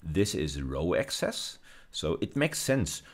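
A middle-aged man speaks in a low register. He lectures like a university teacher on video, explaining step by step. His phrasing is measured and emphatic, punctuated by brief checking tags. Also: big pitch swings, husky. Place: indoors, recorded lecture over slides.

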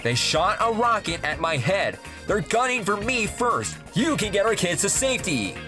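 A woman voicing a confident, protective hedgehog king with a breezy manner in an animated comic dub. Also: medium pitch, normal speed, over music, natural voice. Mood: determined. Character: heroic adult male hedgehog king, cocky and protective.

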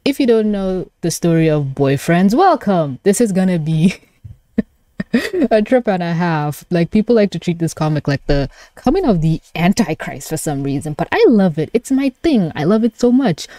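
A woman's voice: fairly low pitch, very animated, normal speed, warm tone, dry recording, clean studio sound. A woman streamer giving a casual live reaction to a webcomic, gushing and flustered, swearing freely. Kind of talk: live reaction with casual commentary and reading aloud.

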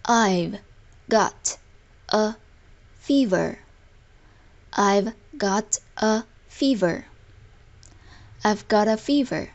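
A woman reads out lesson phrases as a language teacher. Her delivery is extremely slow and deliberate, separating each word carefully. Her pitch sits in an ordinary middle range for a woman. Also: lo-fi sound. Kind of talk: scripted lesson narration, slow repeated example phrases.

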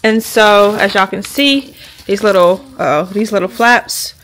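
A woman's voice: medium pitch, a little slow, a bit bright, a little hiss or hum, low-quality recording. A young woman, chatting casually to her viewers in a rambling way while holding the camera at arm's length. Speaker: vlogger. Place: home bedroom and a store. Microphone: handheld camera at arm's length.

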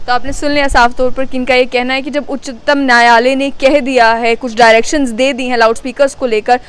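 A woman's voice: sits high, level tone, brisk, clear, resonant tone. A woman, reporting formally and fluently from the field into a handheld microphone. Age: 20s. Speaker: news reporter and interviewer. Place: outdoors beside a building entrance.

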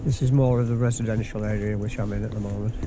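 A man giving a relaxed, chatty outdoor tour while riding round a campsite, often repeating words as he thinks. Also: fairly low pitch, fast, background noise. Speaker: vlogger touring a campsite by bicycle. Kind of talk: casual spoken tour commentary.

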